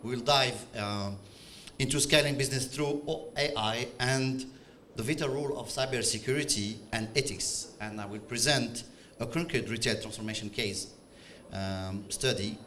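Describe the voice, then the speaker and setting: Algerian guy talking at a conference, bright tense voice, lots of uh and restarts, middle aged. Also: medium pitch, moderate pace, slight background noise. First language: French and Arabic. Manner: hesitant, many fillers and restarts. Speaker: conference speaker. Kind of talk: conference presentation. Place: conference stage.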